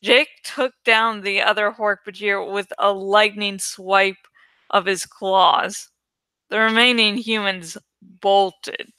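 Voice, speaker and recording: A woman reading aloud as an amateur audiobook host, expressive and informal in her delivery. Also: medium pitch, slow, smooth, no room sound. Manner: informal, self-correcting. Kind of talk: expressive story narration with casual asides.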